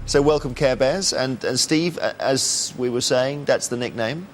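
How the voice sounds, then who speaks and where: A man's voice: mid-range voice, measured pace, slight background noise, poor audio. A man hosting a TV quiz show, reading questions and teasing contestants with dry banter.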